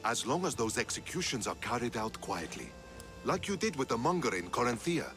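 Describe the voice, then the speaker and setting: An actor playing a measured, principled male Spartan commander in a game cutscene who argues calmly and persuasively. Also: mid-range voice, music in the background. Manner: calm, persuasive. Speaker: Spartan general.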